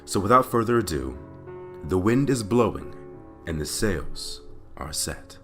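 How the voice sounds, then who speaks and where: A man's voice: deep voice, expressive, measured pace, music in the background. A man hosting a podcast and narrating scary stories in a theatrical, dramatic way, stretching phrases for emphasis. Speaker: podcast host and story narrator. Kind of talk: dramatic reading of creepypasta stories.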